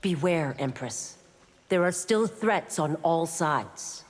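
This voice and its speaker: An actress playing a stern, resolute law officer and warrior in a video game, with a firm, formal manner. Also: female voice, low-pitched, expressive, slow, exaggerated voice. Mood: formal, dutiful. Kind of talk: video game heroine acting. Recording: reverberant arena with clear background noise.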